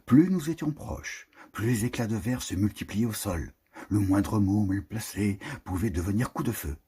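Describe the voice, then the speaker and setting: A very husky, breathy man reads literary prose poetry. His delivery is slow and solemn, with deliberate phrasing and a pitch that swings widely as he declaims. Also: mellow. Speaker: reader of a prose poem.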